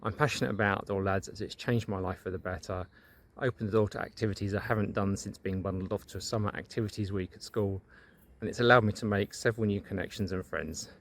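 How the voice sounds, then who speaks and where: A man's voice: lowish voice, steady tone, fast talker. A man delivering a prepared manifesto, asking members to support his application for a trustee role. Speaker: trustee candidate. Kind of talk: recorded manifesto video.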